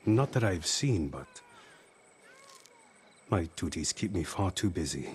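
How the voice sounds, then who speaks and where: An actor playing a nervous monk who answers in a hesitant, evasive way. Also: male voice, sits low, lively intonation, slow, darkish tone, slight background noise. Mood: wary.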